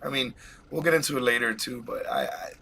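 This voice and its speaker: Bright-voiced excited male podcast host. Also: mid-range voice, brisk, vocal fry, a little hiss or hum. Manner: excited, rambling, laughs often. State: has a cold. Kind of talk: casual live podcast chat.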